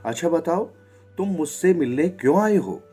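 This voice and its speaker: A man's voice: on the high side, expressive, normal speed, slightly rough, voice pushed up. A man voicing an old, half-blind vulture guardian in a storybook tale, stern and formal, with music behind. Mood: softened, polite. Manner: stern challenge softening into polite formality.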